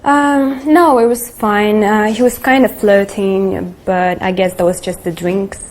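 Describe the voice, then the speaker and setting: A woman's voice: mid-range voice, fairly even intonation, unhurried, close to an everyday voice. A woman playing a polite, eager young office employee with an agreeable, deferential manner in a video game. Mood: hesitant.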